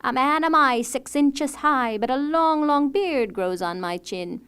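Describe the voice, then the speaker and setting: A woman's voice: high voice, fairly bright tone, voice pushed up. A woman chanting as a tiny bearded dwarf. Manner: slow, chanting rhyme. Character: tiny bearded male dwarf, stern and magical.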